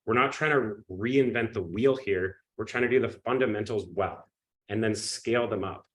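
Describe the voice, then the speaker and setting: Sick man pitching a live webinar. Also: normal speed, low-quality recording. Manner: energetic pitch, often checks in with "okay?" and "right?". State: sick, sniffly and coughing. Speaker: webinar presenter.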